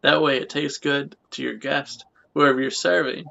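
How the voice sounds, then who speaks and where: A man's voice: medium pitch, fairly even intonation, normal speed, vocal fry, pushed and bright. A man in his late twenties hosting a casual interview, rambling and repeating his phrases. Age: late 20s. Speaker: podcast host. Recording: muffled lo-fi audio.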